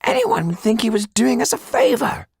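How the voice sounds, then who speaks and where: A man putting on a tetchy raspy high-voiced schemer. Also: wildly swooping pitch, normal speed, dry recording, clean studio sound, full character voice. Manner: curt, irritable.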